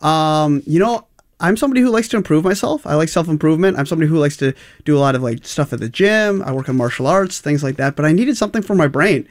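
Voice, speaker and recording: Young adult man guest, higher-pitched, chatty learner story. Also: animated, quick pace. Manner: upbeat, with many fillers. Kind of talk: casual personal story.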